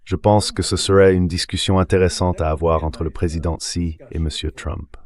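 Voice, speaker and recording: A deep, synthetic male voice speaks as a political commentator. The delivery is measured and formal, in the style of a dubbed interview voice-over. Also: fairly even intonation, a little mellow.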